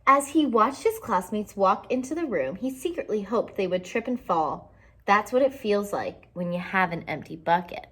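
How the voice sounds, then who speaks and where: A woman reading a children's picture book aloud expressively, pausing to explain it in simple terms for young listeners. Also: mid-range voice, expressive, fairly bright tone, slightly rough.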